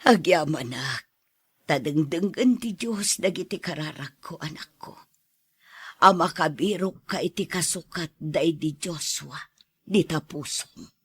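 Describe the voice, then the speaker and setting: A caring, long-suffering mother in a radio drama. The voice is husky and fairly low for a woman, with a dry, close studio sound. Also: measured pace, fairly bright tone, no room sound, heavily acted. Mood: relieved, pleading. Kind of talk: radio drama acting in dialogue.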